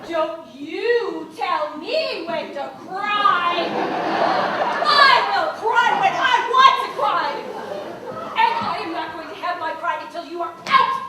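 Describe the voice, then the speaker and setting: A woman's voice: very high voice, expressive, a little slow, very echoey, recorded from a distance, over a noisy crowd. A woman acting an impulsive young newlywed wife on stage, tearful and laughing through her outbursts. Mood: resolute.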